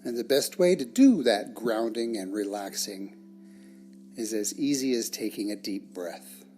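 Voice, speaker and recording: A person leading a guided meditation in a measured, soothing manner, with long pauses between phrases. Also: medium pitch, animated. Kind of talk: guided meditation, scripted narration. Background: soft plucked guitar music.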